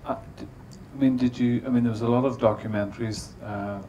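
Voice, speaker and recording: A man's voice: medium pitch, fairly even intonation, brisk, warm tone, highs cut off, slight background noise. A middle-aged man chairing a panel on a cinema stage, asking long questions full of restarts. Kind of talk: interviewing a guest on stage. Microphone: handheld mic.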